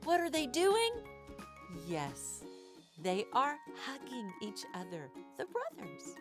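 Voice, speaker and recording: A woman's voice: sits high, wildly swooping pitch, slow pace, over music. A woman hosting an interactive read-aloud for young children, exaggerated and questioning as she addresses listeners directly. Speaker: children's storytime host.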